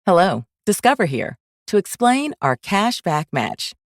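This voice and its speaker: A woman's voice: mid-range voice, very animated, unhurried, heavy background noise. A woman reading a scripted explanatory advertisement as an announcer.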